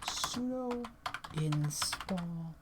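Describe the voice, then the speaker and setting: A woman with a tired voice, chatting casually and swearing now and then as she reads on-screen text and walks through software at her computer. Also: fairly low pitch, lively intonation, very slow, background noise. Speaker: tech video creator.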